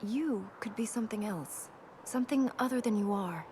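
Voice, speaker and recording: A woman acting a young princess in a video game. She is serious and determined, with a dry, blunt edge and a medium-pitched voice. There is faint ambient noise behind her. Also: moderate pace, a little mellow, lightly acted. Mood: stern, dry.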